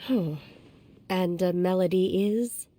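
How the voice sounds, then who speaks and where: A woman voicing a serene, naive young disciple from the mountains in an anime video game, speaking in a measured, formal, earnest way. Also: lowish voice, mellow. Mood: serene, inquiring.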